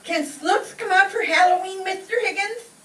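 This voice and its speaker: A woman's voice: very high-pitched, normal speed, sharp tone. A woman playing an eager little girl playmate in a 1940s radio comedy. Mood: eager, coaxing. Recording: echoey hall, distant, noisy.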